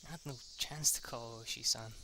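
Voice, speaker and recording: A young man reads a visual novel aloud in a casual, unpolished way, often tripping over words and restarting sentences. His voice sits at a middle pitch. Insects and crickets chirp clearly in the background of his homemade recording. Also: fairly even intonation, background noise. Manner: reads haltingly, restarts lines and swears at slips. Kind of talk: casual let's-read narration.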